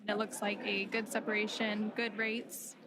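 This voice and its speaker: Brief: female launch commentator, even and level delivery, medium pitch, clear background noise. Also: normal speed. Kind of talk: live spaceflight commentary. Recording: noisy live broadcast.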